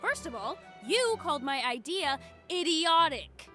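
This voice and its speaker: A woman playing a feisty country schoolgirl in a visual novel, expressive, informal and cheeky. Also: high-pitched, measured pace, heavily acted. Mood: defiant, sarcastic. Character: feisty, defiant country schoolgirl, sweet with her friend. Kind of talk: scripted visual novel voice acting. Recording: background music.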